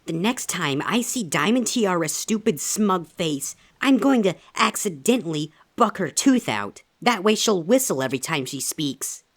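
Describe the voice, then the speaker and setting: A man voicing an angry young girl pony with indignant, shrill outbursts in a dramatic story reading. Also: animated, unhurried, few pauses, a bit bright, full character voice. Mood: vengeful. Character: angry young filly, little girl pony full of rage. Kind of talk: dramatic fan fiction character reading.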